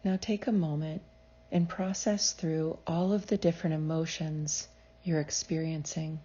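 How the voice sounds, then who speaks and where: A woman leading a scripted guided meditation as a psychologist, speaking in a soothing, measured, gently instructive manner. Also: sits low, steady tone, dull audio.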